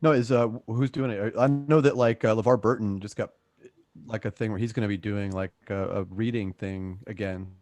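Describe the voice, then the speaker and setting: A man's voice: lowish voice, fairly even intonation, moderate pace, darkish tone. An American man co-hosting a livestream in a chatty, informal way, sometimes stumbling over the starts of words. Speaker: co-host. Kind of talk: casual livestream chat. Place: at home on a multistream video call.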